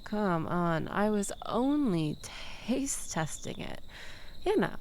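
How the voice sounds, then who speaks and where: Woman acting as tipsy flirty ex gf in an audio roleplay, super slow n drawn out, swoopy teasing voice, faint crickets behind. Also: mid-range voice, a little mellow, a little hiss or hum, heavily acted. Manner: coy, teasing, mock-wounded, drawn-out with breathy dips. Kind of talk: intimate audio roleplay acting addressed to listener.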